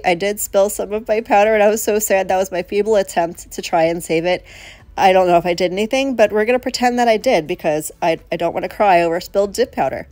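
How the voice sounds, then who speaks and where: A woman's voice: medium pitch, a little mellow, no echo. Middle-aged woman host, stuffy from a cold, chatty and self-mocking, dry close voice-over over faint music. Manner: chatty, self-deprecating, talks to viewers as y'all. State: congested with a cold. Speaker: nail tutorial host. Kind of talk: casual voice-over tutorial. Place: home, voice-over.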